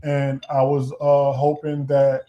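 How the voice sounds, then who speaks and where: A man co-hosting a casual podcast, explaining his views in a deliberate, measured way. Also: medium pitch, monotone, dull audio. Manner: deliberate, explanatory, calls his own voice powerful. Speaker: podcast co-host.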